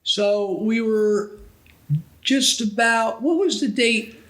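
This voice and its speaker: Middle-aged male podcast storyteller from New Jersey, fairly high voice, casual, rambling delivery. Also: slow pace, a bit bright. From New Jersey, USA. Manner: rambling, backtracking. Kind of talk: casual anecdotal chat.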